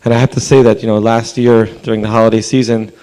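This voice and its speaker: Hoarse rabbi at a council podium. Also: male voice, on the low side, level tone, fast talker, warm tone, low-quality recording. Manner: earnest, conversational, with fillers. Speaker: rabbi speaking at public comment. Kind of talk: public comment speech. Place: council chamber.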